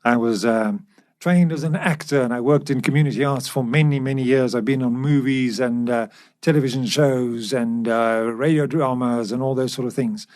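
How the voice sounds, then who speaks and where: A man talks as a podcast host. His voice sits at a middle pitch, and his delivery is that of an easy, conversational discussion. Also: moderate pace, a little mellow. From South Africa.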